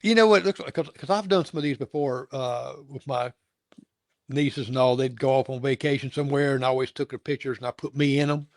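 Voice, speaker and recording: A man's voice: medium pitch, brisk, dry recording. A man from North Carolina hosting a casual interview, rambling with frequent chuckles and restarts. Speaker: host.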